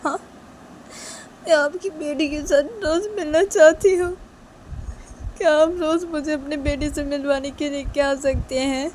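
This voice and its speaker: A woman's voice: sits high, fairly even intonation, a little slow, pauses often, a little mellow, slight background noise, rough recording. A woman doing a tearful pleading young employee. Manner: begging, repeats please.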